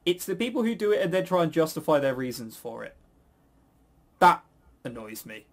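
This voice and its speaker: British man, higher-voiced, stammering podcast host. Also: very animated, moderate pace, creaky. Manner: rambling, stammering with repeated false starts; blunt, sweary. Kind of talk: casual opinionated chat.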